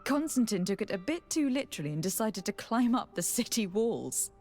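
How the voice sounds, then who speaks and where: Game heroine, female actor: composed young diplomat, medium pitch, articulate and caring, soft music underneath. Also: very animated, fairly quick, lightly acted. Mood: curious, fond. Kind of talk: video game dialogue acting.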